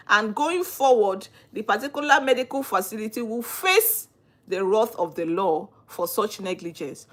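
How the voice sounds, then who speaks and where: A woman's voice: on the high side, fairly bright tone. A young adult woman from Nigeria with a Nigerian accent, hosting a live stream, asking earnest rhetorical questions and greeting viewers by name. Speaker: livestream host on legal topics. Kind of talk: live-stream talk answering viewer comments.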